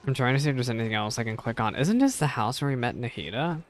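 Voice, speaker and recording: A man's voice: medium pitch, lively intonation, quick pace. A man reacting live to a video game in a casual, chatty way, thinking aloud as he plays. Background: video game music.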